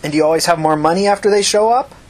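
A man's voice: sits high, rapid-fire, pitched above the performer's own voice, lightly acted. A man reading the part of a tough, angry, foul-mouthed woman who questions people bluntly like an amateur detective in an audiobook. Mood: angry, accusing. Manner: blunt, pressing, confrontational.

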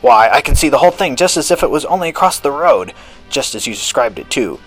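A man voicing an anxious, excitable elderly gentleman in a storybook, with dramatic, old-fashioned formal speech. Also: medium pitch, fast talker, breathy edge, faint music behind. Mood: observant, intrigued.

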